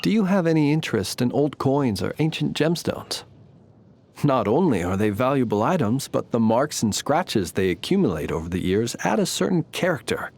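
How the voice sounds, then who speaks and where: An ancient gentleman soldier from a video game, a man who only passes for human. His mid-pitched voice is courtly and measured. He phrases everything formally and politely, with dry understatement. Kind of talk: scripted game character voice lines.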